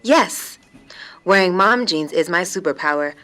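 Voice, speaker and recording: A woman's voice: fairly low pitch, expressive, a little slow. A woman playing a hyper-efficient alien posing as an office worker in a sci-fi audio drama, precise, literal and unruffled. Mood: self-assured. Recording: loud music underneath.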